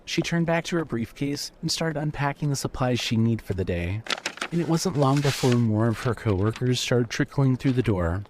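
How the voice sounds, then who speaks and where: A man narrates scripted fiction in a mid-pitched voice. There is faint noise and hum on the recording. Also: lively intonation, moderate pace.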